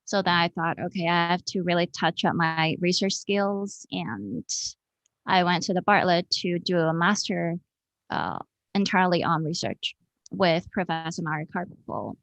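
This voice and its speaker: A woman's voice: on the low side, unhurried, vocal fry, fairly bright tone, dry recording. A woman from Hong Kong answering as an interview guest in a reflective, informal, conversational way over an online call. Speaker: interview guest, architect and researcher. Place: remote online video call.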